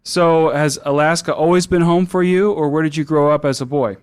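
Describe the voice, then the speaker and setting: Smooth-voiced podcast host, dry close sound. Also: male voice, fairly high pitch, steady tone, darkish tone, dry recording. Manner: measured, asks long thoughtful questions. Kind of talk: interview questions.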